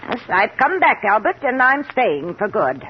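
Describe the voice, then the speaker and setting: A woman's voice: a little high, very animated, normal speed. An actress playing a stern, distrustful older mother-in-law in an imperious, accusing 1950s radio drama style. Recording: muffled, hissy vintage radio recording.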